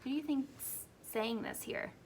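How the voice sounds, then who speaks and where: A woman preschool teacher leading an interactive read-aloud for young children, asking questions and pausing for answers. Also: high voice, a little hiss or hum.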